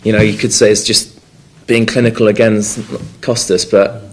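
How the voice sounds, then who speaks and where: Young North Wales man, rugby player at a presser, low-ish voice, halting and full of "you know". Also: flat delivery, poor audio. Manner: hesitant, many fillers and restarts. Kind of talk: press conference interview.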